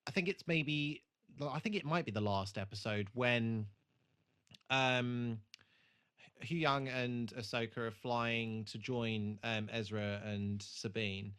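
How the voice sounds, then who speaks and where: A man's voice: mid-range voice, slow, a bit bright, smooth. A man co-hosting a podcast, chatting casually and critiquing bluntly with plenty of swearing. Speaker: podcast co-host. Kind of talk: casual chat, TV episode review.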